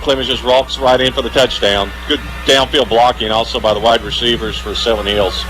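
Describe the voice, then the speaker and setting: A man's voice: medium pitch, fairly even intonation, a little fast, a bit bright, soft background music, low-quality recording. A man giving live radio analysis of a football game as the color commentator.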